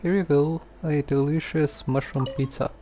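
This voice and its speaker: A man giving casual live gameplay commentary, haltingly muttering to himself as he plays. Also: a little high, steady tone, dark tone, faint music behind. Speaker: game streamer.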